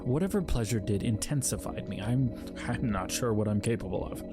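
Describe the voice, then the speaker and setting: A man's voice: lowish voice, lively intonation, brisk, natural voice. A man playing a powerful, anguished spirit of fear who holds himself back, speaking formally in an audio drama. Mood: fearful, reluctant. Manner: formal, archaic, solemn wording. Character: Fear, powerful anguished male emotion spirit, self-restraining, dreaded. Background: music under the voice.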